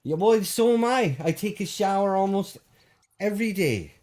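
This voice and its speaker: A man hosting a call-in show, bantering deadpan with a caller, full of hesitations and restarts. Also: high-pitched, moderate pace. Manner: hesitant, full of ums and restarts, straight-faced.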